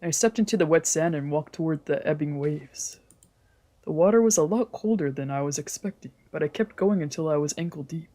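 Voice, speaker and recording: A streamer voicing a brooding, wry, guarded young man in a soft, measured visual novel read-aloud. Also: mid-range voice, natural voice. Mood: wry, reflective.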